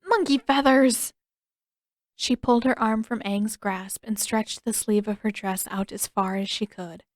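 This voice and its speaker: A woman's voice: medium pitch, steady tone, moderate pace, no echo, studio quality. A woman reading scripted story narration as an audiobook narrator.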